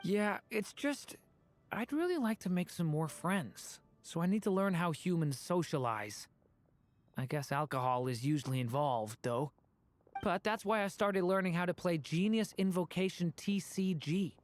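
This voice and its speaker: A woman playing a gentle young dragon boy in a video game, speaking politely, thoughtfully and sincerely. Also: on the low side, moderate pace, close to an everyday voice. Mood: earnest. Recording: faint background music.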